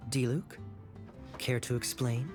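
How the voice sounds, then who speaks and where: A man voicing a smooth, teasing male knight captain in a video game dub. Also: medium pitch, fairly even intonation, slow pace, over music, close to an everyday voice. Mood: wry.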